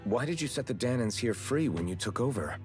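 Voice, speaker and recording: A man's voice: mid-range voice, very animated, fairly quick, darkish tone, natural voice. An actor voicing a wary, earnest young rebel swordsman in a video game, direct and questioning. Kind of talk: video game cutscene acting. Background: music.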